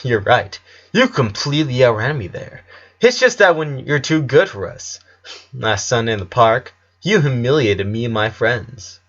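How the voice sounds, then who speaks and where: A man's voice: medium pitch, sing-song, normal speed, fairly bright tone, muffled recording. A man voicing a smug, taunting schoolboy bully in an audiobook, mocking and sarcastic. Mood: smug, amused. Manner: mocking, feigned apology and sarcasm. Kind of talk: audiobook character voice.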